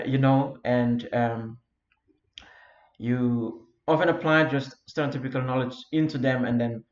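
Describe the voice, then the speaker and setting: A man from Flores in eastern Indonesia with an Indonesian accent, giving a simple self-made lecture in English, often pausing with fillers and repeated words. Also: medium pitch. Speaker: founder of an English learning community. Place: Europe. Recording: roomy, dull lo-fi recording.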